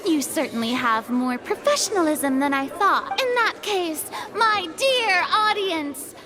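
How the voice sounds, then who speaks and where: A woman voicing a smug, theatrical young female archon in a video game, with haughty, drawn-out delivery. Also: very high voice, animated, music in the background. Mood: triumphant, mocking. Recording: echoing large hall with crowd.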